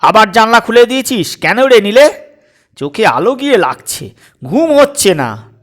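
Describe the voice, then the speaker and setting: Man putting on a shrill scolding mother. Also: very animated, normal speed, a bit bright. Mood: irritated. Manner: shrill, snapping complaints. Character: sharp scolding middle-aged mother, exasperated wife.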